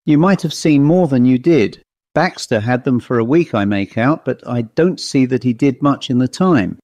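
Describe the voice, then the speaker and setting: A man voicing a brusque, excitable older country squire who speaks in sharp exclamations. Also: medium pitch, normal speed, dry recording, natural voice. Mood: musing.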